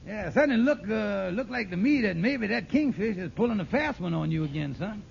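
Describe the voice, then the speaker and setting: An actor playing a fast-talking, scheming, slippery con artist in an old radio sitcom, in folksy ungrammatical speech full of stalling. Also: male voice, high-pitched, animated, creaky, heavily acted. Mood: probing. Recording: noisy, muffled vintage radio recording.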